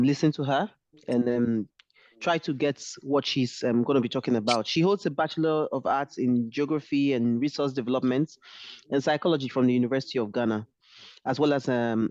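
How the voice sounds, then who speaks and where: Halting man introducing a speaker. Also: medium pitch, a little mellow, dry recording. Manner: halting, many fillers. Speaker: moderator. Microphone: video call. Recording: dull dry call audio.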